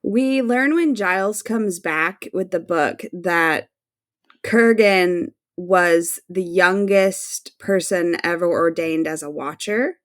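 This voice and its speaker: A woman's voice: fairly low pitch, slow pace. A woman co-hosting a casual fan podcast in an informal, wry way, with some casual swearing. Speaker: podcast co-host.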